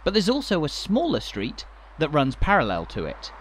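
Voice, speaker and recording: A man narrating a walking tour in a measured, enthusiastic guide's manner, from a scripted voice-over. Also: fairly high pitch, wildly swooping pitch, a little hiss or hum.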